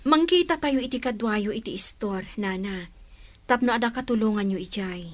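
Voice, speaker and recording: A woman with an Ilocano Filipino accent playing a sincere, steadfast young student in love, polite and calmly firm, in a radio drama. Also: mid-range voice, normal speed, dry recording, close to an everyday voice. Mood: agreeable, determined.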